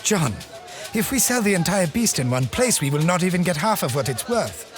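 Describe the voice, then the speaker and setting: An actor playing a wry, sharp-tongued guide in an audio drama with music under him. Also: male voice, high-pitched, very animated, normal speed. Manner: wry, cutting.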